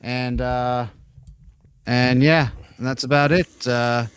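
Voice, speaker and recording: A man from New York, a ham radio operator, chatting casually on the air in a loud, talkative voice. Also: mid-range voice, fairly even intonation, slow, smooth. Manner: loud voice, chatty, laughs often.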